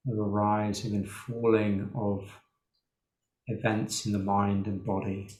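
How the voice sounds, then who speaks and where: A man leading a guided meditation as a teacher, speaking in an unhurried way with long pauses. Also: lowish voice, little pitch movement, a little mellow. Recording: roomy, echoing sound.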